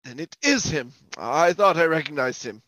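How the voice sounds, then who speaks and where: A man's voice: a little high, expressive, moderate pace, bright, forceful tone, dry recording, rough recording. A man playing a nervous, stammering lover in an old-time radio comedy mystery. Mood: flustered. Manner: stammering, theatrical. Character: nervous, stammering cowardly lover in murder farce.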